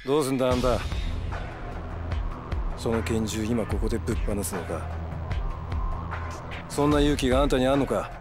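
An actor playing a stern, composed yakuza man in a Japanese video game, speaking in a measured, restrained way. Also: medium pitch, close to an everyday voice. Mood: firm, warning. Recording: music behind the voice.

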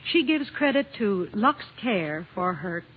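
A woman's voice: medium pitch, sing-song, a little slow. Woman on muffled vintage radio. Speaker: radio guest, Hollywood commentator. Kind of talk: scripted 1950s radio promotion. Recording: muffled, noisy old radio broadcast.

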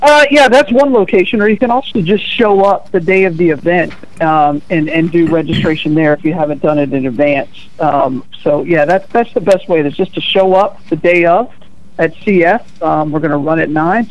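A man speaking as a radio guest in a fairly high voice. He hesitates and fills gaps with ums as he goes. The sound comes through dull and muffled. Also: fairly quick. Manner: hesitant, many fillers. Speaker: blood bank guest. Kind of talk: casual radio interview.

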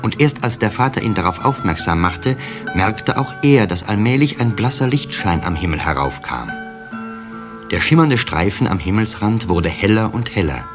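A male narrator tells a children's tale in a low voice. His scripted storytelling is accompanied at times by light guitar music. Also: lively intonation, normal speed. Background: soft guitar music at times.

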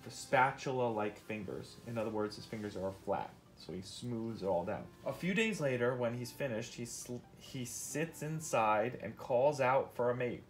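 A man's voice: mid-range voice, animated, normal speed, hardly any pauses, slight background noise. A man reading a nonfiction picture book aloud to his child, explaining and asking questions as he goes. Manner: explains and asks questions as he reads.

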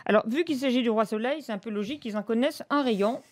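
A woman's voice: on the high side, fast talker, a loud crowd. A middle-aged woman giving a scripted radio review with opinionated, emphatic asides. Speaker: radio TV critic and columnist. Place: radio studio. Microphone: close studio microphone.

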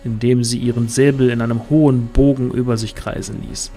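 A man's voice: medium pitch, fairly even intonation, fairly quick, dark tone, faint music behind. A man narrating a scripted fantasy audio drama in a literary storytelling manner.

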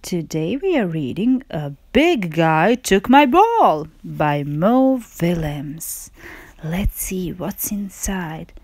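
A woman's voice: on the low side, big pitch swings, slow pace, a little mellow, no room sound. A woman hosting and presenting a read-aloud storybook for children. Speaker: children's storybook reader and host.

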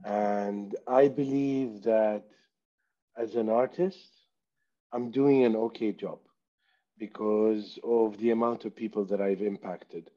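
Brief: man from Saudi Arabia, thirties, unhurried and mellow, lowish voice, dull headset call sound. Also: fairly even intonation. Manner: slow, reflective, speaks of himself in third person. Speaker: artist guest. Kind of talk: video call interview. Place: small room indoors. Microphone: headset on video call.

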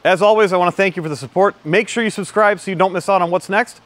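A man in his thirties hosting a casual, upbeat walkthrough of a metal fabrication shop, filmed on a phone camera. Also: high voice. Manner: chatty, quick and upbeat. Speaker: company video host. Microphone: phone camera, selfie and standing shots.

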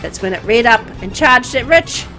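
A woman's voice: fairly high pitch, moderate pace, low-quality recording. Woman narrating horror fiction over music. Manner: expressive, acting out dialogue. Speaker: gameplay video narrator. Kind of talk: dramatic read-aloud of a horror visual novel.

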